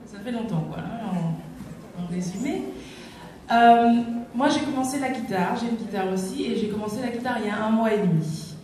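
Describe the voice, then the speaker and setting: A woman's voice: fairly high pitch, normal speed, darkish tone. Hesitant woman. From Guadeloupe. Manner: hesitant, many fillers and restarts. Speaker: singer visiting a school. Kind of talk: informal talk to schoolchildren. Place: large echoey hall. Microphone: distant microphone. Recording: echoey hall from far off, background noise.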